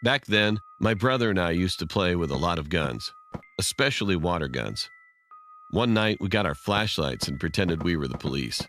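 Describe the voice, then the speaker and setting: Male horror narrator, low deep voice, scripted storytelling over a clear music bed. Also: expressive, normal speed, fairly bright tone. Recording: prominent music under the voice.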